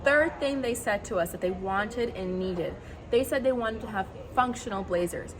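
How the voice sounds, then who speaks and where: A woman from Colombia, a brand co-founder, giving an energetic product pitch to camera in a warehouse. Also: moderate pace, crowd noise. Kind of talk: upbeat product pitch video.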